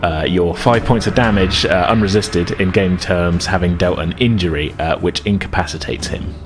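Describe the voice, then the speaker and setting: A man's voice: low voice, moderate pace, music in the background. A man acting as game master, narrating a tabletop game in an improvised, hesitant, conversational way.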